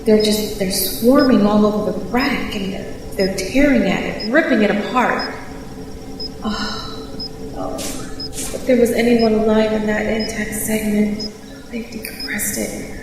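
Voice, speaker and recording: Heavy echo and long reverb surround an actress as a stranded female space reporter, heard from off the mic over a noisy ambience. She speaks slowly and haltingly, proud yet frightened, in the manner of a sci-fi radio play. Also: mid-range voice, fairly even intonation, far from the mic, natural voice. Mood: horrified, anxious. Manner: halting, breathy delivery with broken, repeated phrases. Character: stranded female news reporter, proud but terrified, facing death. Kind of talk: audio drama monologue, sci-fi radio play. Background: clear ambient noise.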